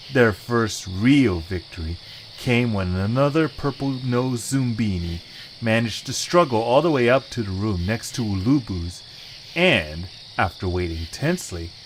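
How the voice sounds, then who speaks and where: A man narrates a scripted audio story. The voice is mid-pitched with lively, wide intonation. Faint night insects play behind the narration. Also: a little hiss or hum, poor audio.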